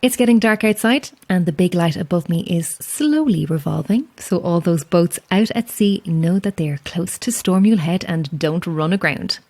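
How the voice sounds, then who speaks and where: An actress playing a chatty, self-deprecating lighthouse keeper in an audio drama, friendly and a little wistful, with hesitant asides. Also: female voice, lowish voice, animated, moderate pace, few pauses, darkish tone. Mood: proud presenting. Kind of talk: naturalistic audio drama acting.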